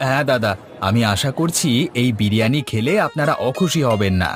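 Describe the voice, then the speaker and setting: Cartoon: man as hearty biryani seller, mid-pitched, music bed. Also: animated, a little fast, close to an everyday voice. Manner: hearty, hawking, eager to please. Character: cheerful village biryani shop owner, husband. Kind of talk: Bengali cartoon voice acting. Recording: background music.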